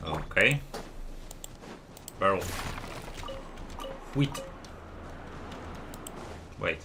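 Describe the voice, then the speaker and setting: A man's voice: deep voice, expressive, very slow, gravelly, noisy background. A man streaming a game, giving casual commentary in short muttered asides to himself. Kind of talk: casual live gameplay commentary.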